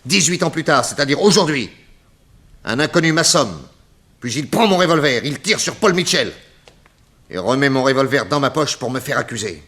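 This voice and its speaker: An actor playing an assured, courteous private detective in an articulate, theatrical 1960s French radio serial style. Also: male voice, medium pitch, animated, moderate pace, fairly bright tone, lightly acted. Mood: insistent. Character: famous male private detective, assured, indignant under accusation.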